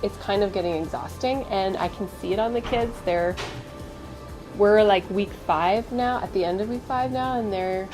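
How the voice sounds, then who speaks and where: A woman's voice: mid-range voice, moderate pace. Vlog host, woman with a congested cold voice, candid chatty delivery, with light music playing under her. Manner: chatty, candid, many fillers. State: stuffed up with a cold. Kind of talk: casual vlog chat.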